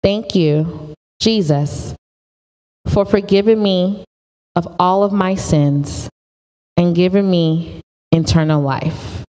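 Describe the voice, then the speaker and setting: A woman's voice: on the low side, slow, pauses often, dark tone, big-room sound, rough recording. A woman teaching a pre-teen church class from a stage with a handheld mic, earnest and often repeating herself.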